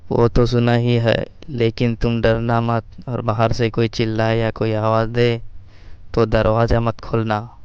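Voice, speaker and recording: A man voicing a calm senior railway officer who instructs a junior in a measured way, in a horror story. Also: medium pitch, clean tone, no echo, dull audio, close to an everyday voice. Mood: calm, cautioning. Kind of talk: horror story character dialogue.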